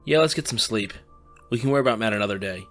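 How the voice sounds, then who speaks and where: A man's voice: fairly low pitch, lively intonation, fast talker, faint music behind, natural voice. An actor playing a weary young male student with magic powers who speaks bluntly and swears when tired.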